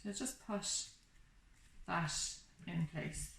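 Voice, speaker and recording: A woman's voice: lowish voice, very slow, gravelly, fairly bright tone. A woman giving a casual, hands-on craft tutorial, explaining each step in an informal, reassuring way. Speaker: craft workshop instructor. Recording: echoey room with background noise, clicks.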